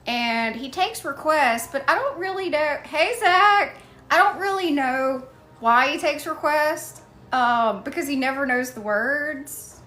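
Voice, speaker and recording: A woman's voice: high-pitched, animated, slow, pushed and bright. A woman live streaming, chatting casually and thanking viewers by name as she goes.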